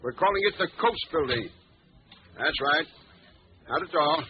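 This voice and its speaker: An actor playing a brisk, easily indignant construction boss, clipped and businesslike, in 1940s radio style. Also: male voice, on the high side, animated, soft background music, lightly acted. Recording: muffled, noisy old radio recording.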